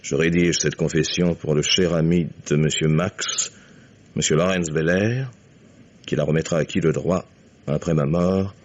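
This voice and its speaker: A shrewd older gentleman in a 1950s radio mystery, with a very deep voice. He speaks courteously and deliberately, with suave irony and quiet command, on a dull vintage recording. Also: fairly even intonation. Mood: grave, measured.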